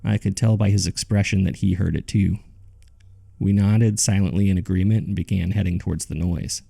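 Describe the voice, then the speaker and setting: A grave, haunted man tells his horror story in a low, dark voice. Heavy creak runs through his somber, measured narration. The delivery is suspenseful, in the manner of a scripted audio drama. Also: fairly even intonation, full character voice. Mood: somber, fearful.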